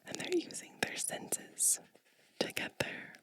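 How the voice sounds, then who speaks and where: A woman whispers a children's storybook in an ASMR style. Her breathy whisper is bright and airy, and she takes it very slowly, lingering gently on phrases and slipping in soft asides about the pages. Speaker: ASMR channel host reading a picture book.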